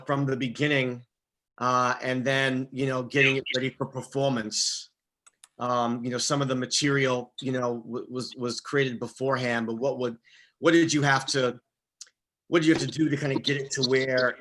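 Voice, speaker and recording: Halting man asking a question, faintly noisy call. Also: medium pitch, a bit bright. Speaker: audience member questioning actors. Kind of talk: casual, halting spoken question. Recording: faint noise and clicks on video call.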